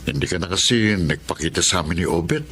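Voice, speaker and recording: A man's voice: low-pitched, animated, fast talker, a little hiss or hum. An actor playing an earnest, long-absent policeman father in a melodramatic radio drama, with a Filipino accent. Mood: confident. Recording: loud music under the voice.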